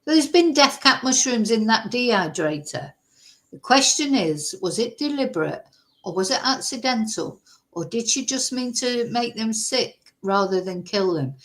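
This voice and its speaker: A woman from Manchester with a softened Mancunian accent, hosting a casual true-crime livestream, chatty and rambling, greeting viewers by name. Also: a little high, animated, normal speed, low-quality recording. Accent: softened Mancunian English. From Manchester, England.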